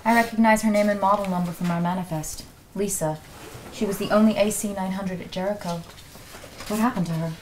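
A woman's voice: mid-range voice, fairly even intonation, brisk, a little hiss or hum, close to an everyday voice, rough recording. A woman acting a polite, curious android representative in a scripted drama read, courteous and composed. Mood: composed, interested. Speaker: actress reading an android representative at a table read.

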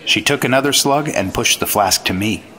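An actor playing an earnest, straight-laced 1950s FBI agent in a retro radio drama, matter-of-fact and clipped. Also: male voice, mid-range voice, normal speed, bright, forceful tone, a faint crowd, natural voice. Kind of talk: retro radio drama acting with first-person narration.

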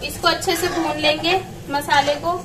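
A woman's voice: sits high, steady tone, moderate pace, far from the mic, noisy background. A woman explaining a recipe step by step as she cooks in her home kitchen. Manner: step-by-step instructing. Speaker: home cook vlogger. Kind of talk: cooking demonstration.

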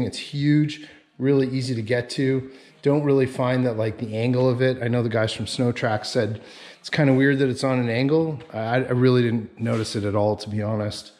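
A man giving a casual, enthusiastic vlog tour in a garage, pausing often as he finds his words. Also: medium pitch, darkish tone. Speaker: snowmobile vlogger. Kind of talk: casual walkaround tour to camera. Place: residential garage with concrete floor.

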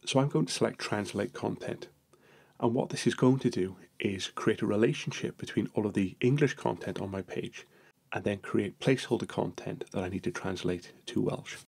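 Male tutorial narrator, lowish gravelly voice, a bit husky, steady step-by-step delivery. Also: normal speed.

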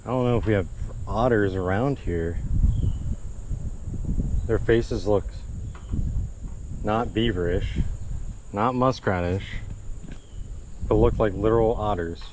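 A man's voice: fairly low pitch, takes it slowly, long pauses, background noise. Laid-back man vlogging by a pond. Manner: chatty, easygoing, thinking aloud. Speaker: fishing vlogger. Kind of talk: casual outdoor vlog narration. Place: outdoors at a marsh pond. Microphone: action camera worn on body.

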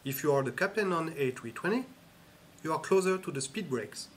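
A man giving scripted instructional narration in a measured, instructive way. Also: a little high, animated, a little hiss or hum. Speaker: training narrator.

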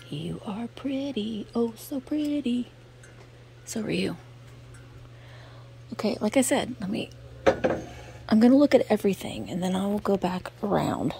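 An American woman giving casual, murmured shop-along commentary in a hushed, gentle voice that often drops to a near-whisper, recorded on a handheld camera microphone. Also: medium pitch. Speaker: vlogger showing finds while shopping. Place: indoors in a cluttered antique mall. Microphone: handheld camera's built-in microphone. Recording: clear ambient store noise, sensitive camera microphone.

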